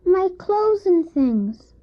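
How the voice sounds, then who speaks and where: A very high-pitched little boy, acted for an audio drama, orphaned and earnest. He speaks slowly, simply and politely, with a plaintive edge. The old cassette sound is dull, with music behind him. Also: big pitch swings, exaggerated voice. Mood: polite, downcast. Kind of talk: scripted audio drama acting.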